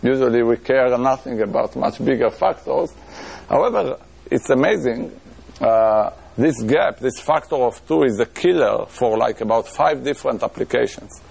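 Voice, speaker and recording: A man's voice: medium pitch, normal speed, gravelly, darkish tone, slightly rough. A middle-aged man with an Israeli accent giving a lecture in a room, thinking aloud with many restarts and fillers. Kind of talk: academic lecture on math.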